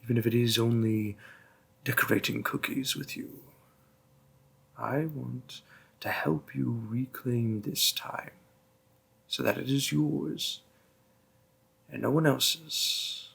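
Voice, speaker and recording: A man playing a doting demon boyfriend in an intimate roleplay audio, speaking softly to the listener with a husky, gravelly voice and lingering pauses. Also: medium pitch, heavily acted. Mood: protective, earnest. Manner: slow, deliberate, hushed, with lingering pauses. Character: doting, gentle male demon boyfriend, coaxing and protective.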